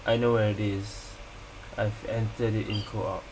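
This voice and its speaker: A woman's voice: a bass voice, level tone, measured pace, low-quality recording. A woman gaming streamer giving casual playthrough commentary, musing aloud in short reactions. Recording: loud game music behind the voice.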